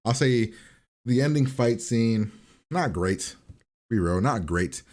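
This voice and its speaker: A man's voice: mid-range voice, lively intonation, slow pace, a little mellow. A man hosting a reaction video, giving a casual, informal review and rambling as he repeats and revises his points.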